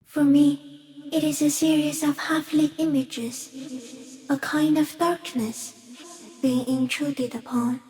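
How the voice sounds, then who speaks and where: A woman voicing an ancient, serene giant stick insect in a video game, musing softly in halting, deliberate fragments. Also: high voice, level tone. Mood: serene, reflective. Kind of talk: video game character voice acting.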